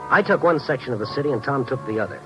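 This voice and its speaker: An actor playing an earnest, inquisitive hardboiled narrator-hero, punchy and wry, in a 1950s radio noir drama. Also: male voice, mid-range voice, expressive, racing, dull audio, natural voice. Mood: tense, brooding. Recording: vintage 1950s radio with music.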